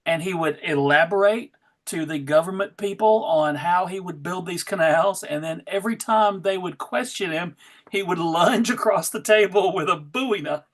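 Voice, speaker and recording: A man casually hosting a table read, informal and a bit halting as he talks. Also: high voice, fairly bright tone.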